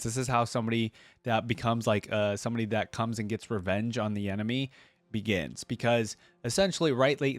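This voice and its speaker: A man's voice: medium pitch, no echo. A man hosting a reaction video, giving informal, emphatic commentary straight to his viewers. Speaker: reaction video creator and host.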